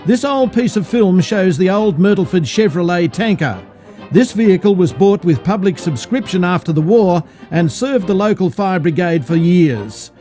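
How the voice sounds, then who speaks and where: Newsreel narrator, male, Australian accent, fairly high mellow voice, scripted delivery over music. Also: lively intonation, normal speed. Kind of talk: scripted narration in old cinema newsreel format.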